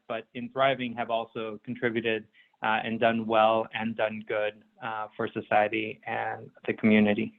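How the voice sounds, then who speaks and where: Guy hosting an online panel, stops and starts a lot, sounds like a phone call. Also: mid-range voice. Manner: hesitant, pausing often between phrases. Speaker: panel moderator. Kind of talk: webinar moderating. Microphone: remote call audio. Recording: tinny phone-like line.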